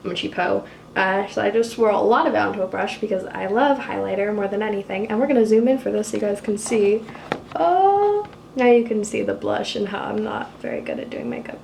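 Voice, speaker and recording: A young woman with a low, husky voice chatting casually while doing her makeup, self-mocking and swearing offhandedly. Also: a little fast, darkish tone, roomy sound, slight background noise. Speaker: YouTuber doing a makeup tutorial. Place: apartment room.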